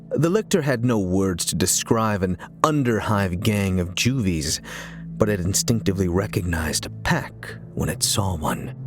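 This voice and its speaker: A man giving a dramatic, deliberate and suspenseful narration of a scripted audio story, with theatrical phrasing. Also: on the low side. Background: music.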